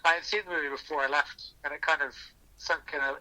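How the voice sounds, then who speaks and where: A man with a very sharp, bright voice talks reflectively as a podcast guest over the phone. He is earnest and thinks aloud, circling back to restart phrases as he shares personal reflections. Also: a little high, steady tone, quick pace. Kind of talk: reflective personal storytelling in an interview. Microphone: phone call. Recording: thin, bright telephone line.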